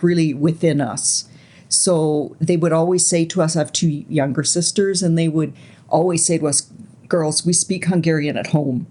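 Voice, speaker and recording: A woman in her sixties, raised in Canada, recalling her life story slowly and reflectively as an interview guest, often pausing to search for words. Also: low voice. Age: 60s. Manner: soft, unhurried, searching for words.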